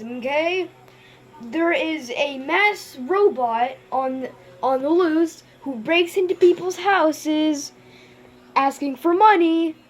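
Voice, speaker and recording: A performer with a youthful voice playing an urgent news reporter reading a mock bulletin in a homemade puppet show. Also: high-pitched. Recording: noisy amateur home recording.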